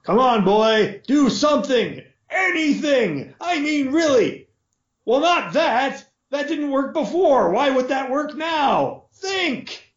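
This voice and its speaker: A man voicing a blustering, pompous middle-aged lord who hectors loudly in a raised voice. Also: big pitch swings, slow, heavily acted. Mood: hectoring. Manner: loud, high, hectoring. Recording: roomy, dull lo-fi audio.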